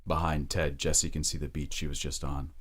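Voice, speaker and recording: A man reading scripted dramatic narration in a measured, deliberate way. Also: very deep voice, little pitch movement, a bit bright, slightly rough.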